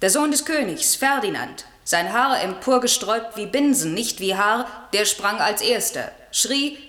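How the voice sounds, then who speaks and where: A woman's voice: mid-range voice, animated, unhurried, a bit bright, reverberant. A woman playing an airy spirit servant in a German classical radio play, eager and proud, declaiming verse theatrically and longing for freedom. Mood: exultant.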